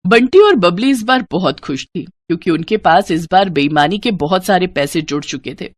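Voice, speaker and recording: A woman's voice: fairly low pitch, expressive, moderate pace, no echo. A woman storyteller reading a scripted moral tale in narration style.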